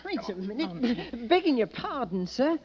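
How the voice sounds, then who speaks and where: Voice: mid-range voice, big pitch swings, unhurried. An actor playing a scrappy boy drummer who argues his case with insistent mock politeness in old radio drama. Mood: pleading, sly. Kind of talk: 1940s radio acting. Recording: muffled old radio, reverberant room.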